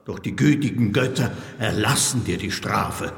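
An actor playing a stern, lofty temple speaker in a radio play, making formal pronouncements. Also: male voice, lowish voice, moderate pace, creaky. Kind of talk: theatrical opera radio play. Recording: distant voice, echoing hall reverb.

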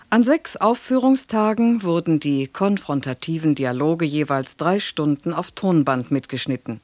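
A radio announcer of unclear sex giving a scripted, measured introduction to a radio play. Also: mid-range voice, a little mellow, no echo.

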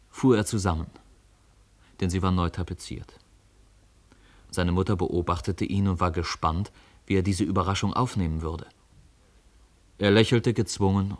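A man narrating a literary radio play in a measured storytelling manner. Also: deep voice.

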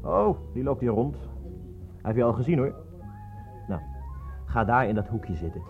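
A man's voice: medium pitch, very animated, normal speed, faint music behind. Cocky barman in a muffled radio play. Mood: cocky, warning. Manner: cocky, chuckling, fawning to police. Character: brash, slangy barman of a shady Paris bar.

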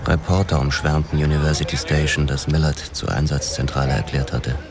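A man narrating a hard-boiled radio drama in the first person, in a measured way. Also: rumbling low, steady tone, a crowd in the background. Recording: music under narration.

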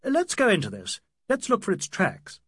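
A man's voice: high voice, huge pitch swings, normal speed, a bit bright, breathy edge, dry recording, clean studio sound, pitched above the performer's own voice, heavily acted. A man voicing a hearty, clipped young colonial Englishman who takes charge, in an audiobook. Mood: keen.

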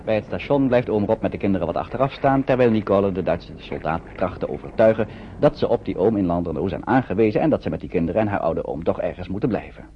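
A man's voice: sits low, expressive, fast. A man reading scripted narration as the storyteller of a wartime radio play. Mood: storytelling. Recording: dull old radio recording, faint noise.

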